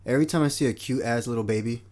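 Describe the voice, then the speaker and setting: A man's voice: medium pitch, rapid-fire, darkish tone. A man in his twenties with a New York accent, co-hosting a casual podcast chat, teasing and laughing often. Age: 20s. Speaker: podcast co-host.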